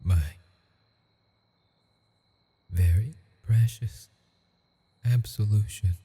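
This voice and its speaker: A man playing a lonely, tender ghost lover in a romantic ASMR roleplay, speaking haltingly and intimately in a breathy, husky voice. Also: on the low side, dark tone, exaggerated voice. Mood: intimate, devoted. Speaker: audio roleplay performer. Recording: long reverb with music behind.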